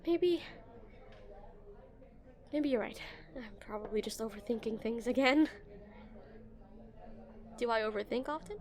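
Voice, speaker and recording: A woman's voice: fairly high pitch, slow, pauses often, a little mellow. A woman playing an awkward, smitten game developer on a dinner date in a romantic audio roleplay, nervous and stammering. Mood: nervous, flustered. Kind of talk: scripted romantic roleplay, spoken to the listener.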